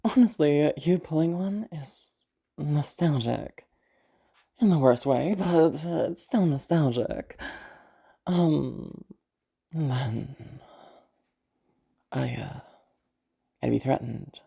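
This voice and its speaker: A woman playing a cold, wry captive in an intimate audio roleplay, musing in a deadpan, hesitant way. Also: low voice, slow pace, vocal fry, pauses often, husky, full character voice. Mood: musing, detached.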